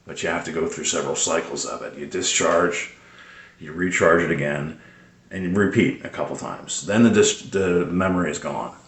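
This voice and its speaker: Deep-voiced man in an echoey room. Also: moderate pace, gravelly. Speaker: radio hobbyist presenter. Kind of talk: casual unscripted product tips vlog.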